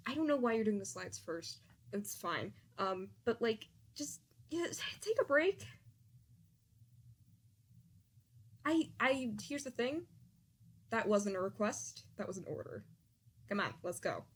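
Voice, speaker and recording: A young woman improvising a roleplay as a playful, bossy, sentimental childhood friend, speaking casually and haltingly with a teasing edge. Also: fairly high pitch, lively intonation, breathy edge. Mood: exasperated, bossy. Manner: halting, rambling, casual speech with teasing bossiness.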